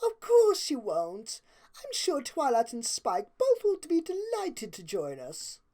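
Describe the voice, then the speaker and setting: A woman's voice: sits high, huge pitch swings, a little slow, mellow, pitched above the performer's own voice, exaggerated voice. A woman voicing a prim, dramatic and refined lady pony in a story reading, with a fussy, declarative manner. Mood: determined.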